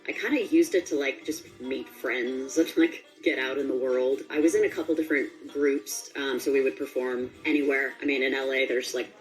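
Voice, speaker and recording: A woman's voice: a bass voice, normal speed, hardly any pauses, soft background music, poor audio. A woman speaking as an interviewed voice actor, chatting in an informal, rambling, enthusiastic way. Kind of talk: casual interview chat.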